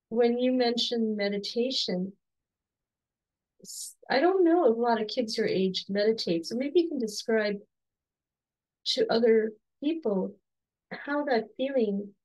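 A woman in her forties, slightly hoarse, gently interviewing her son at home on a video call with soft, encouraging questions and restarts. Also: on the high side, measured pace, pauses often, rough recording. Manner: soft, encouraging, with frequent restarts and light laughs. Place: home room with bookshelves.

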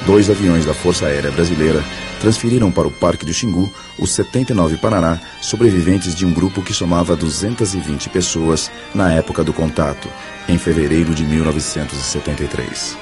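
A man reading scripted documentary narration in a measured, formal way. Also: low voice. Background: music.

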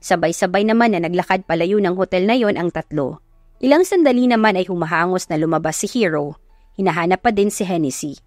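Lady narrator reading a love story, dry close mic, soft music under. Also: on the low side, lively intonation, fairly quick, no room sound. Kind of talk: scripted romance audio story narration.

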